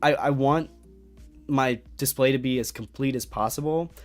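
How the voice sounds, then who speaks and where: A man's voice: mid-range voice, normal speed, soft background music. A young man hosting a casual livestream chat, talking with enthusiasm and rambling through fillers and restarts. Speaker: host of a toy collecting livestream.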